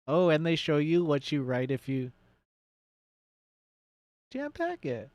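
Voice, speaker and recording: A man's voice: fairly high pitch, big pitch swings, slow pace, darkish tone, no echo. Casual, rambling male game streamer, dry sound. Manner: rambling, thinks aloud and swears casually; self-described nerdy enthusiast. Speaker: game streamer hosting a let's play. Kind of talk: casual live gaming commentary and chat.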